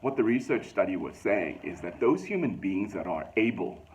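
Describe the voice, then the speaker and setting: A man with a Zambian accent, talking reflectively to his listeners in a casual, thoughtful way, with many ums and slow emphatic stretches. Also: deep voice, very animated.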